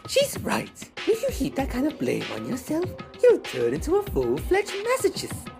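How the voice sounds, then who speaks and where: A woman voicing a scornful, neurotic teenage schoolgirl in an anime fandub, sharp and scolding. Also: high-pitched, huge pitch swings, normal speed, vocal fry, exaggerated voice. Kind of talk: anime game fandub acting. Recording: music under the voice.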